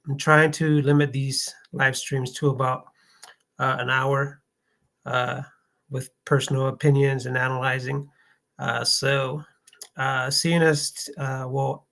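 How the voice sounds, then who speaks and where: A man with a medium-pitched voice talks casually as the host of a live stream. His delivery is hesitant, as he works out his thoughts aloud. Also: fairly bright tone.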